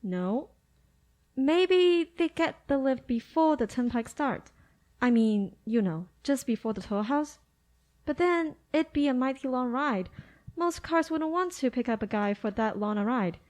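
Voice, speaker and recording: A woman's voice: sits high, lively intonation, unhurried, a little mellow. A woman playing a folksy, plain-spoken rural gas station attendant in a radio drama, chatting colloquially. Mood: matter-of-fact.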